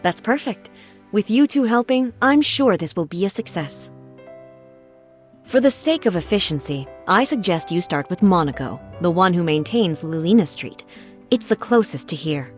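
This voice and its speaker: A woman's voice: lowish voice, expressive, moderate pace, lightly acted. Woman playing a helpful, businesslike town organiser. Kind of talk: video game voice acting. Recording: music under the voice.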